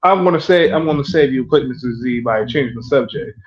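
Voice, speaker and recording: A man of middle pitch talks casually in a group chat. He tells things haltingly, often searching for his words. The recording is a little dull and lo-fi. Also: a crowd in the background.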